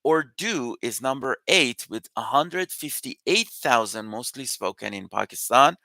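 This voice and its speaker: Bright-voiced man, native Persian speaker. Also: medium pitch, measured pace, vocal fry, dry recording. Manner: explanatory, steady walkthrough of figures. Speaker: immigration consultant and host. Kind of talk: live news review and Q&A.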